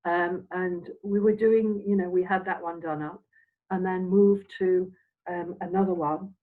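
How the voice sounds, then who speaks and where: An older woman from Scotland, a semi-retired minister, reminiscing in a halting, meandering, reflective way as an interview guest. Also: lowish voice, steady tone. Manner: halting, meandering delivery with trailing phrases. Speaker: interviewed Spiritualist minister and medium. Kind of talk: reflective, anecdotal chat. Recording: dull, phone-like audio.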